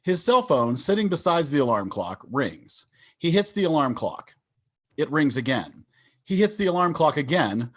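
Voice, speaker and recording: A man's voice: fairly high pitch, very animated, normal speed, fairly bright tone. A man narrating sitcom stage directions as scripted reading on a video call.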